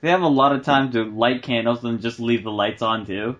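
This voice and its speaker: A man's voice: mid-range voice, brisk, a bit bright. A man cracks wise with exasperation while watching a movie with friends. His mic sounds dull and lo-fi. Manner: exasperated, wisecracking. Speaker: co-host. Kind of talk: casual group movie commentary.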